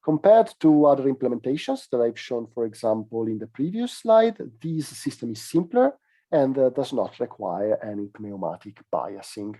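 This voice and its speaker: A man giving a technical conference presentation as a researcher, explaining in a measured way with hesitant pauses mid-phrase. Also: medium pitch, big pitch swings, dark tone.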